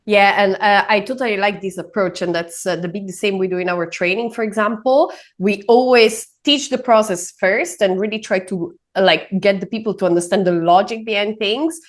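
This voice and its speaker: A woman's voice: mid-range voice, normal speed, few pauses. A woman hosting an interview, asking questions in a conversational way with fillers. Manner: conversational, with fillers and short backchannels. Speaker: podcast host and sales trainer. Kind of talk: casual interview talk.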